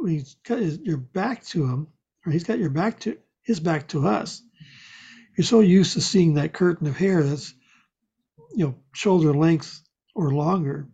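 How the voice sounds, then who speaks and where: A man's voice: a little high, dark tone, breathy edge. An older man chatting casually, telling meandering stories and correcting himself as he goes. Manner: meandering storytelling, self-correcting. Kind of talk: casual chat. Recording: dull lo-fi audio.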